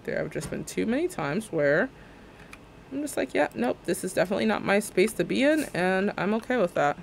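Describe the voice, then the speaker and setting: A man in his twenties talks on stream in a slightly higher register. He vents at length, dropping into mocking impressions of what others said. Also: a little fast, vocal fry, a little hiss or hum. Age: 20s. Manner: ranting, animated, sarcastic, mimics others' words. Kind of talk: casual livestream chat while building in a game.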